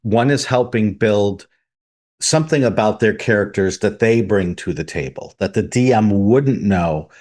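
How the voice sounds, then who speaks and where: Low-voiced man explaining step by step. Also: measured pace, darkish tone. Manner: methodical, lays out numbered points. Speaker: podcast host. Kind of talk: explanatory podcast chat.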